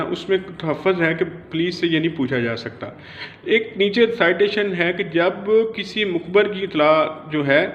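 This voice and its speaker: Male law lecturer, Pakistani accent, high voice, halting informal explainer delivery with English terms, lo-fi recording. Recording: dull, lo-fi audio.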